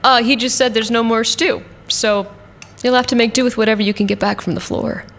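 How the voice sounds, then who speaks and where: A woman's voice: a little high, steady tone, fairly quick, fairly bright tone. A woman playing a practical, wry mercenary in an audio drama, with an exasperated way of talking. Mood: wry, scolding. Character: practical, wry female mercenary, the team's interpreter.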